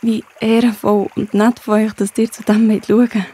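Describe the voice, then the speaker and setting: A woman's voice: mid-range voice, flat delivery, moderate pace, mellow, slightly rough, natural voice. A woman playing a respectful, helpful young girl in a children's audio drama. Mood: polite, earnest. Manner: respectful, formal address.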